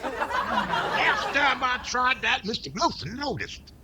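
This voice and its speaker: A man plays an unflappable valet from old radio comedy with a strikingly high, lively voice. He slips dry wisecracks into dutiful replies, over a noisy, clicky recording. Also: slow pace, a loud crowd, full character voice. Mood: teasing, dutiful. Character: wry, unflappable male valet, dutiful but teasing. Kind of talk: 1940s radio comedy acting.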